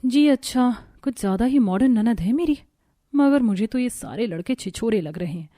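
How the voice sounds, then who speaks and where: A woman voicing a gentle, dutiful young daughter-in-law in a cartoon story, polite and earnest. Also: a little high, animated, normal speed, dry recording, clean studio sound. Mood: doubtful. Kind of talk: Hindi cartoon story voice acting.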